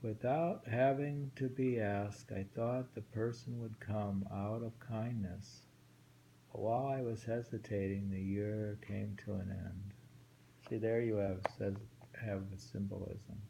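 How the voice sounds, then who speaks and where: A man reading short poems aloud twice each and musing over their meaning in a slow, thoughtful way. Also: fairly low pitch, steady tone, gravelly, mellow, slight background noise, rough recording. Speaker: poetry reader.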